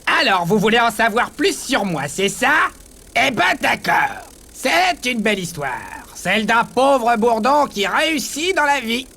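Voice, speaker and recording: An actor playing a small, boastful bumblebee who tells his story theatrically in an animated game. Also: extremely high pitch, measured pace, creaky, heavily acted. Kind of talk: animated game cutscene acting.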